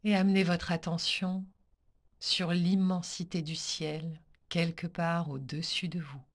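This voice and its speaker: A woman teaching yoga nidra, leading a guided relaxation in a calm, soothing, measured manner with pauses between phrases. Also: fairly low pitch.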